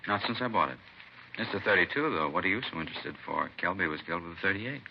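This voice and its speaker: A man's voice: low-pitched, animated, quick pace, gravelly. A man playing a nervous, evasive robbery suspect in a 1950s radio crime drama, defensive and pleading in manner. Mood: defensive, wary. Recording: dull, noisy vintage radio recording.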